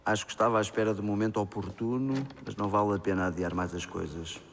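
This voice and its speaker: A man's voice: on the low side, steady tone, fast, vocal fry, a little hiss or hum. A man with a European Portuguese accent playing a serene, grandiose genius tycoon in a comic drama, speaking formally and eloquently. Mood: calm. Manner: formal, self-satisfied, eloquent monologue.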